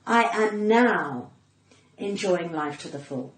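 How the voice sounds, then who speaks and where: A woman's voice: fairly low pitch, lively intonation, slow. A middle-aged woman with a well-spoken, cut-glass English accent, chatting casually and telling anecdotes in an emphatic, cheeky way. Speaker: podcast guest, comedian. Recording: roomy echo, light background noise.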